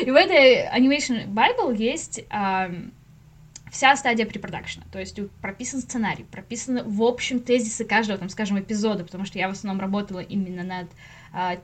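A young woman, a podcast guest, explaining things in a casual, informal way and often thinking aloud with asides. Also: medium pitch.

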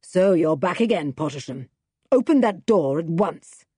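A woman's voice: lowish voice, lively intonation, a little slow, dark tone, husky, no echo, clean studio sound. A woman voicing a brusque, commanding male villain scientist in a storybook reading. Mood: demanding. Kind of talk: storybook character voice.

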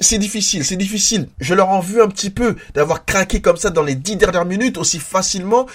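Man, stuffy nose from a cold. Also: sits high. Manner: frank, repetitive restarts, stammers when tired. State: congested with a head cold and sore throat. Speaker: football podcast host. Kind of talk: solo match debrief podcast.

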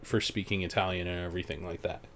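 A man's voice: sits low, level tone, fast, gravelly, fairly bright tone, slight background noise. A young man co-hosting a podcast, chatting loosely and swearing while he lays out the history. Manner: loose, rambling, laughs easily, swears. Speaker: podcast co-host. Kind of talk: casual chat.

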